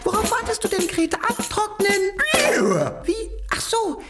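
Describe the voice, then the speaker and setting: Woman voicing a little boy king, very high voice, slow childlike chatter, cartoon, music behind. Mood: chatty. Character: small cheerful boy king, playful and affectionate.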